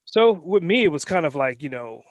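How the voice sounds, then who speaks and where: A man's voice: on the high side, very animated, moderate pace, dry recording. A man born in Trinidad and Tobago and raised in New York, a guest telling his life story in a casual interview, rambling with lots of fillers. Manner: rambling, anecdotal, many fillers. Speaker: guest entrepreneur.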